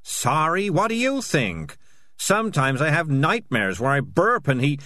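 Man playing a male talking cat, raised voice, blustering, grudging, guilt-ridden, animated storybook character. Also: medium pitch, measured pace, no echo, pitched above the performer's own voice. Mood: agitated guilt. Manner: defensive, blustering. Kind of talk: audiobook character voice.

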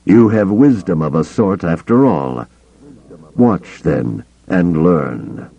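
Old male Jedi villain, acted by a male narrator: low, mellow, deliberate, pompous and condescending menace for a space-opera audiobook. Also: lively intonation, creaky, husky, muffled recording, full character voice. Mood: smug.

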